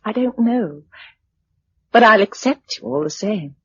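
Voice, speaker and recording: A dull radio play recording has a young woman survivor. Her husky, animated voice is breezy, practical and teasing. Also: medium pitch, measured pace. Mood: tender. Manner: breezy, matter-of-fact. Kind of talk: radio drama dialogue.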